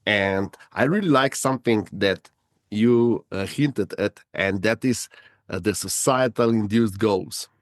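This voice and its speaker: Hesitant low-voiced podcast host man. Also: a little slow, no room sound, studio quality. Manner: hesitant, many fillers and repeated words. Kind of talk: podcast interview.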